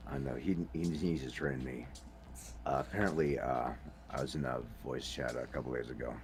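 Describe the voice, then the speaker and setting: Very deep-voiced Scottish man chatting. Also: fairly even intonation, moderate pace, slight background noise. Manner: slips into accents while talking. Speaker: podcast host. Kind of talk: casual chat.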